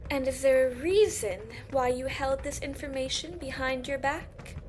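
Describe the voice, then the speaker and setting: Tribunal judge, woman actor: high, curt, impatient and imperious, fantasy audio drama with light ambient noise. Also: normal speed, darkish tone, lightly acted. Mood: stern. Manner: haughty, exasperated. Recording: faint background ambience.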